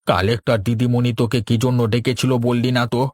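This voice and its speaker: A man's voice: mid-range voice, level tone, fairly quick, a little mellow, close to an everyday voice. A man voicing a bitter, disapproving old farmer father in a cartoon, scolding and nagging. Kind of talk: cartoon dialogue.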